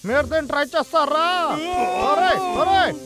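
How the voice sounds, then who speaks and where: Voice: high-pitched, a little fast, lightly acted. An actor voicing a boastful, hot-tempered, scheming hunter in a theatrical, exclamatory cartoon dub. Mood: gloating. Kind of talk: animated cartoon dub acting. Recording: background music under voice.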